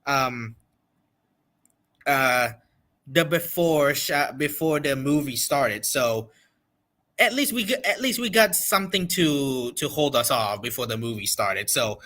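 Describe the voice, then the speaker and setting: A man hosting a casual livestream reaction, talking in an excitable, repetitive and emphatic way. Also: mid-range voice, slow. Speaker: livestream host reacting to a game event.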